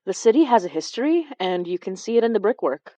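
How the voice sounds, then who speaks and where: A woman's voice: mid-range voice, very animated, fast, mellow, no echo. A woman narrating a horror fiction podcast in a chatty, wry, sarcastic way. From Canada. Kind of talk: scripted audio drama narration.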